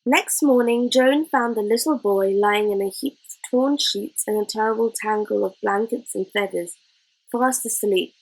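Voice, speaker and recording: A woman reading a children's story aloud in an expressive narrating style. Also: mid-range voice, normal speed, low-quality recording. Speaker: storybook reader.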